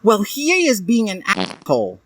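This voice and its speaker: A man's voice: sits high, wildly swooping pitch, measured pace, a bit bright, distant mic, a little hiss or hum, pitched above the performer's own voice, heavily acted. A man voicing a melodramatic, snippy teenage vampire girl in a comic story reading. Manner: dramatic, snippy, exclaiming.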